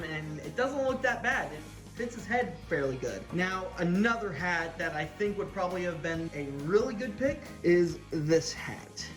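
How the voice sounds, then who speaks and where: A man giving a casual hands-on toy review as the host, sharing opinions in a hesitant, meandering way. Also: a little high. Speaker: toy collector reviewer hosting an unboxing video. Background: background music.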